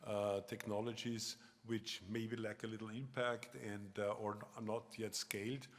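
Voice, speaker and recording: Male conference moderator, lowish flat voice, frequent "uh"s, reverberant hall. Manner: halting, many fillers. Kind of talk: conference presentation.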